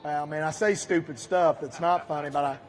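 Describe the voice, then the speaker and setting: High-voiced folksy man with a Southern lilt. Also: steady tone, a little fast. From Iowa. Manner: teasing, folksy wisecracks. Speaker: host. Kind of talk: live joking banter on stage. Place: expo show stage. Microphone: handheld microphone.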